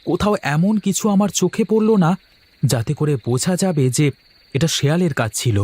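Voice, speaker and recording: A man's voice: fairly high pitch, animated, normal speed, darkish tone, breathy edge, no room sound. A man voicing a fretful, bewildered village man in a cartoon horror story, speaking urgently and full of questions. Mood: puzzled, insistent. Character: Mahesh, agitated, bewildered village man grieving his mother.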